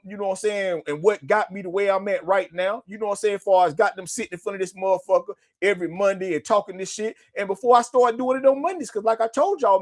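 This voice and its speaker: A middle-aged man with a Southern US accent, hosting his own show in an unscripted conversational monologue, using street slang, swearing and often checking in with the listener. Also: high voice. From North Carolina, United States. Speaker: host of a personal storytelling show.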